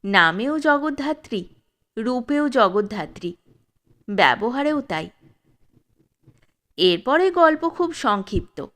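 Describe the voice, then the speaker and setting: A woman narrating a classic story in a measured, expressive reading. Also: a little high.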